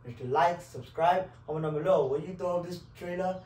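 A man's voice: high voice, brisk, a little mellow. A man in his twenties in casual reaction chat, emphatic and given to repeating himself. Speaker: reaction video host. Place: living room, on a couch. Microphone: camera a few metres away. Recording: echoey room, distant microphone.

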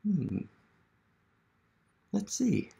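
A man's voice: high voice, wildly swooping pitch, extremely slow, creaky, mellow. An American man in his thirties hosting a children's read-aloud as an educator, asking questions and encouraging young listeners. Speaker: museum literacy educator hosting a children's storytime. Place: small living room at home, indoors.

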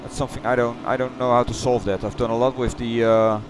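A middle-aged man with a Dutch accent, chatting loosely through a replay of his racing game run, halting and correcting himself as he goes. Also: medium pitch, little pitch movement, noisy background. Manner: halting, rambling, self-correcting. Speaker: sim racing streamer. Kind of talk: casual replay commentary. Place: home gaming room.

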